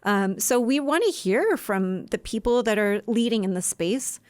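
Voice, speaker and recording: A woman co-hosts a podcast with a smooth, resonant voice. She sounds upbeat and organized. The recording is dry and close. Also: medium pitch, lively intonation, unhurried, a little mellow, dry recording. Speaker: podcast co-host. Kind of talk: casual conversational podcast.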